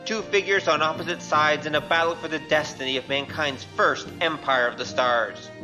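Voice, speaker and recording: Male announcer, fairly high voice, scripted drama introduction, clear music behind. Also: fairly even intonation, moderate pace, poor audio.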